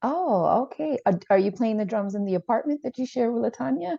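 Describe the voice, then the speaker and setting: A woman playing a calm, probing therapist in a role-play, measured and tentative as she reflects back. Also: on the high side, vocal fry, no echo, lo-fi sound. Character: calm, probing female therapist leading a session.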